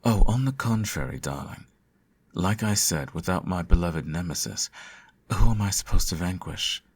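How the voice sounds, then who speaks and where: A man playing a teasing, doting supervillain in an intimate ASMR roleplay, suave and coaxing, with a gravelly, husky voice. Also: deep voice, animated, normal speed, heavily acted. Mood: playful, gloating. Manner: suave, theatrical, coaxing, uses pet names. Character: teasing, doting male supervillain nursing his hero nemesis.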